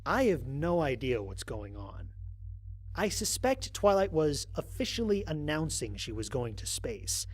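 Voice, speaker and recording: A husky astronaut played by a man records his mission logs for a sci-fi audio drama. He is wry and grumbling, and his conversational delivery swings expressively up and down from a somewhat high-set male voice. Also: sing-song, unhurried. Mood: reflective. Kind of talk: dramatized first-person log narration.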